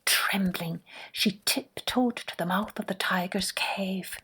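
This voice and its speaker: Slow-paced woman telling a children's story. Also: medium pitch, husky, in a whisper. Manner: expressive, hushed at suspenseful moments. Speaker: storyteller, podcast host. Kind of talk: scripted children's story narration.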